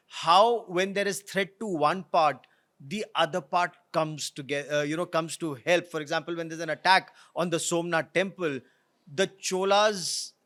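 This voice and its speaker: A man's voice: a little high, fairly bright tone. A man with an Indian accent hosting an interview in a conversational, agreeable way. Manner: agreeable, interjecting short affirmations. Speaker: interviewer, host.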